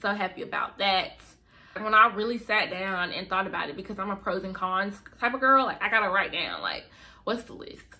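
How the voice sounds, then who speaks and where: A young woman vlogging to camera from her bedroom, chatty and expressive, with a slight lisp. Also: mid-range voice, fairly quick. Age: young adult. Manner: chatty, expressive, lots of fillers. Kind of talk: casual chat to camera. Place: bedroom, indoors. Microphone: camera in front of her.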